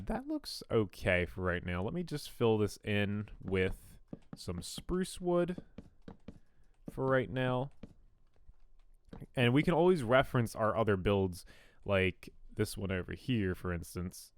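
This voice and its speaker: A young man with a fairly deep radio-style voice, chatting casually and rambling with self-deprecating asides while he builds in a game. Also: sing-song, takes it slowly, pauses often, clean tone. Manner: rambling, self-deprecating, frequent ums and restarts. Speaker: gaming YouTuber. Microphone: new studio condenser mic.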